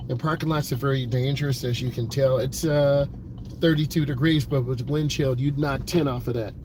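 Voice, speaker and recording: A man's voice: medium pitch, steady tone, normal speed, noisy background. A man working as a driving instructor, talking to the camera from inside a car in an earnest, motivational way, with many fillers and repeated points.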